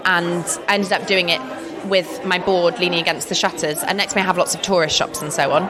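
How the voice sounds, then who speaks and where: A woman's voice: on the low side, steady tone, brisk. Chatty English woman at a busy fair. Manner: enthusiastic, chatty, laughs often. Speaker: artist interviewed. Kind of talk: casual interview chat. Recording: crowd chatter behind.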